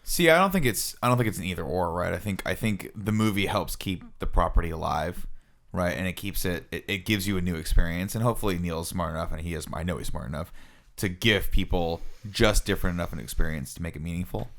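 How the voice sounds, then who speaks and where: A man with a low-pitched voice talks as a podcast co-host. He offers his opinions casually and jokingly, often checking in with little rhetorical questions. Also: fast talker, gravelly, fairly bright tone. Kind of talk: casual joking panel chat.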